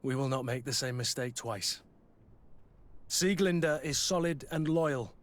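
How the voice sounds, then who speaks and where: A man's voice: medium pitch, measured pace, close to an everyday voice. A man acting a blunt, loyal mercenary soldier in a video game, plain-spoken and wry. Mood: earnest.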